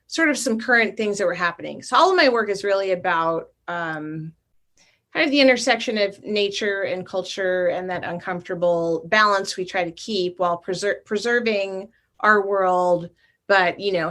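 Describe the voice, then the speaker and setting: A woman's voice: mid-range voice, fairly bright tone. A middle-aged woman, a guest artist, talking thoughtfully and at length about her work over a video call. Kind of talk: casual interview talk.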